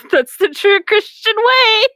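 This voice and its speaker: A woman's voice: very high-pitched, animated, slow pace, pushed and bright, no echo, clean studio sound. A woman co-hosting a comedic reading of fan fiction, irreverent and giggly, slipping between dramatic delivery and casual banter. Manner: giggly, breaks into laughter while reading; irreverent, crude joking.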